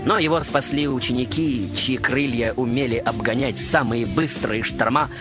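Male radio host, mid-pitched, dramatic scripted storytelling, deliberate phrasing, clear music bed. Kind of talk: theatrical scripted radio monologue. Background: music behind the voice.

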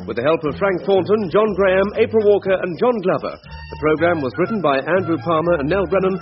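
High-voiced male radio announcer. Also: brisk. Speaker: continuity announcer. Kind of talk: scripted radio credits announcement. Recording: dull vintage radio over music.

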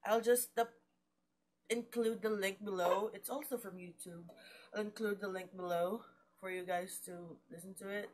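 A young woman vlogging casually at home, hoarse and low-voiced from coughing, rambling slowly with fillers and pauses. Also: fairly even intonation, darkish tone, slight background noise. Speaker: vlogger and mandolin player. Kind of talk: casual chat to camera. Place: small room indoors. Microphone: webcam or laptop at desk.

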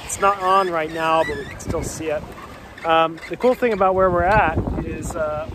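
A young man chatting casually with lots of ums as he narrates a sailing trip, filmed on a phone at arm's length out on the water. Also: on the high side. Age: young adult. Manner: relaxed, chatty, many ums and uhs. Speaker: sailing vlogger. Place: on a sailboat out on open water. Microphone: phone held at arm's length. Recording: phone audio with loud wind noise. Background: wind and boat noise.

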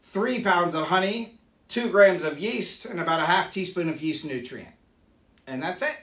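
A man in his forties, hoarse from losing his voice, chatting upbeat as he demonstrates mead making live in a small room. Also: sits high, lively intonation, normal speed, a bit bright, roomy sound. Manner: chatty, upbeat, explains as he works. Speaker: meadery owner hosting a live stream. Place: small home meadery room, indoors.

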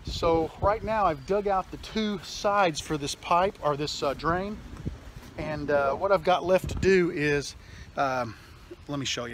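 A man's voice: on the high side, slight background noise. A man in his forties, chatting casually in a rambling DIY vlog, filmed outdoors in his backyard and garage on a phone at arm's length. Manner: chatty, rambling with fillers and restarts. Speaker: workshop vlogger. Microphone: phone held at arm's length.

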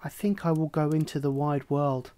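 A storyteller voicing a meek, wistful young duckling, a little higher than the narration. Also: little pitch movement, moderate pace, dark tone, lightly acted. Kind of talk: storybook reading.

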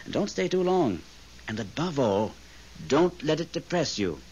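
An actor playing a wry, theatrical otherworldly guide to ghosts in a 1970s radio drama. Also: male voice, on the high side, very animated, unhurried, a little mellow. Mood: wry instructing. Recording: dull, faintly hissy 1970s radio.

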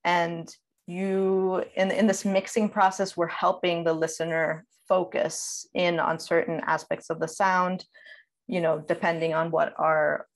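A woman with a fairly low voice presents over a video call. She explains things step by step in a conversational way, with the informal phrasing of someone teaching from slides. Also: creaky. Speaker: audio producer giving a presentation. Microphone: video call microphone.

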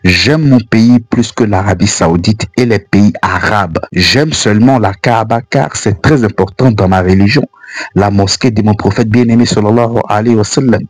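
A man's voice: on the low side, moderate pace, no room sound. An African man whose first language is not French, reading an earnest, admonishing voice-over commentary for a religious channel. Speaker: Islamic channel narrator.

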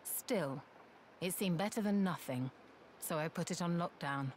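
A woman's voice: lowish voice, unhurried, slight background noise, close to an everyday voice. A woman playing a stern, proud winged warrior in a video game, speaking in a grave, commanding manner. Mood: grave, resolute. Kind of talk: video game cutscene acting.

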